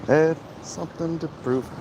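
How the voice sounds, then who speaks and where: A man talking casually off the cuff in a rambling, opinionated, halting way, like a livestream host commenting and answering chat. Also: fairly high pitch, fairly even intonation, highs cut off. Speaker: host of a livestream vlog, comic creator. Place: outdoors, walking in an urban area. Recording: clear outdoor traffic and street noise.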